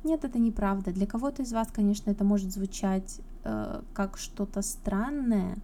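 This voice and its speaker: Very dark-voiced woman teaching pronunciation. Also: mid-range voice, normal speed, slight background noise. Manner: chatty, explanatory, addresses viewers directly. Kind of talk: informal video lesson to camera.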